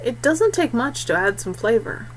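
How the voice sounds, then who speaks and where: A woman's voice: a little high, very animated, moderate pace, a little hiss or hum, rough recording. A woman voicing a good-natured, practical female traveller in an audiobook, explaining things plainly. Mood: easygoing, practical.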